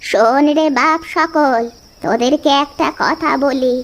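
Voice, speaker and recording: A woman playing a gentle, wise mother in a children's cartoon, speaking to grown sons in a measured, coaxing way. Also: high-pitched. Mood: tender, earnest.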